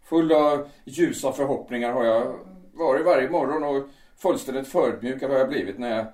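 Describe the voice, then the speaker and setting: A man voicing a bitter understudy who has turned to revenge, speaking with cold deliberation at a middling pitch. The voice sits back from the mic in an echoey room, so it carries a distant, roomy sound. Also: a little mellow, natural voice. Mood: menacing calm. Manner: cold and deliberate, swelling into fervent outbursts. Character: bitter vengeful male understudy, calm and menacing. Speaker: understudy singer in a theatre. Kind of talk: amateur audio drama acting.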